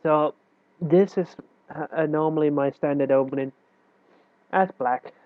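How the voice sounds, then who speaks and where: Male chess tutor with a head cold, nasal and a bit high, relaxed explaining manner, dry phone-like sound. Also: unhurried, no echo. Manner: loose, self-correcting explanation with fillers. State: stuffy with a cold. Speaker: chess teacher. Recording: tinny, dry phone-like recording.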